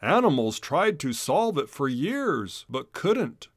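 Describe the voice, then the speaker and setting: A man's voice: fairly high pitch, big pitch swings, slow pace, dry recording, clean studio sound, pitched above the performer's own voice, exaggerated voice. A man voicing a kindly animal schoolteacher in a children's storybook, explaining expressively. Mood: wistful.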